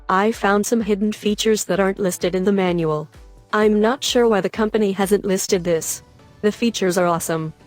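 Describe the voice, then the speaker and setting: A woman delivers scripted product review narration at a medium pitch. She reads as a voice-over narrator, with music playing behind her. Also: a little mellow. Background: background music.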